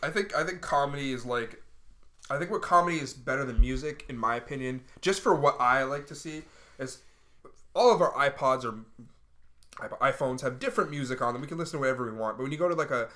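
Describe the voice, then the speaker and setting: A man, a comedian co-hosting a casual chat, blunt and teasing with dark jokes. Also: mid-range voice, fast talker. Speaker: comedian and podcast co-host.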